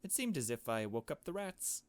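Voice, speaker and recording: A man reading a visual novel aloud as a let's player, in hushed storytelling narration with casual asides. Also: medium pitch, big pitch swings, moderate pace, no echo.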